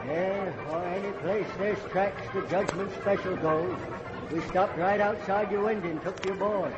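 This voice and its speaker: Ghostly railway conductor, male actor, high voice, brisk folksy authority, vintage horror radio with muffled, noisy sound. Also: fairly even intonation, natural voice. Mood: insistent, eerie. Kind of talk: old-time radio horror acting. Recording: dull, very noisy old radio recording.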